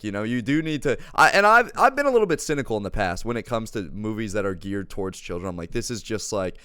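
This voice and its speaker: A man's voice: fairly low pitch, a bit bright, clean tone, no room sound, studio quality. A man co-hosting a casual, enthusiastic review, speaking effusively with slangy wording and emphatic repetition. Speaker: co-host giving a movie review.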